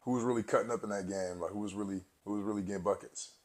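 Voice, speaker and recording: A man hosting a podcast, asking questions in a relaxed, conversational way and backing his guest with quiet affirmations. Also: fairly low pitch, racing. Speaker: podcast host. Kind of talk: conversational interview.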